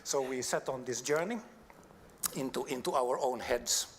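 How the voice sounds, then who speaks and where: Man presenting in a reverberant, noisy hall. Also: mid-range voice. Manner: halting, searching for words. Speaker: designer giving a talk. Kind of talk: conference presentation. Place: large conference hall on stage.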